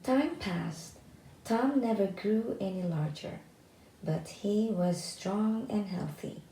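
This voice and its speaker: A woman's voice: medium pitch, takes it slowly, mellow, reverberant, slight background noise, rough recording. A woman storyteller reading a children's tale aloud as scripted narration.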